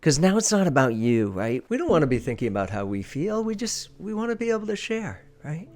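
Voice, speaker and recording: A man's voice: fairly high pitch, sing-song, a little fast, a little mellow. A man giving an informal instructional talk as a presentation coach, stressing his points emphatically and repeating key phrases.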